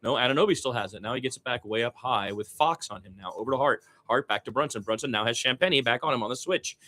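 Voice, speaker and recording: A man's voice: mid-range voice, fast, bright, forceful tone. A man doing amateur radio-style basketball play-by-play, conversational, with his own opinions mixed in.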